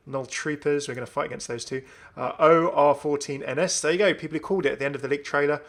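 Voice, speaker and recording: A man hosting a gaming video with casual, enthusiastic commentary in a creaky voice. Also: a little high, steady tone, fast talker, a bit bright. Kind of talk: casual commentary on a game update.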